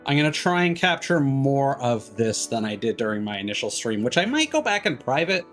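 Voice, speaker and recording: A man's voice: mid-range voice, very animated, fairly quick, a bit bright. A man doing casual, rambling livestream commentary as he plays a game, with wry asides. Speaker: game streamer. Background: game music.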